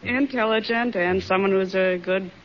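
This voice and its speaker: A woman's voice: medium pitch, normal speed. A young American woman from Minneapolis answering a host's questions as a quiz contestant on an old radio recording. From Minneapolis, USA. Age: young adult. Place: studio stage before an audience. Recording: muffled, slightly noisy old radio recording.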